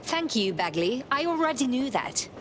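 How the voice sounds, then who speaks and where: A woman's voice: high-pitched, big pitch swings, moderate pace, slight background noise. A woman playing a tough, cocky hacker operative in a video game, speaking bluntly and sardonically.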